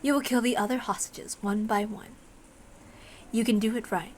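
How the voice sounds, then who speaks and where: A woman's voice: fairly high pitch, steady tone, normal speed, a little mellow, slight background noise, lightly acted. A woman voicing a cruel, condescending demon examiner in a story reading, taunting with mocking, coaxing questions.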